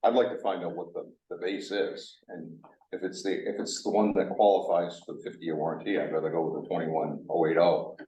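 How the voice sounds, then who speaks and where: A man's voice: low-pitched, flat delivery, fairly quick, dark tone. A man on a town board, making a motion hesitantly with restarts. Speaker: board member. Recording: dull, echoey hall, distant mic.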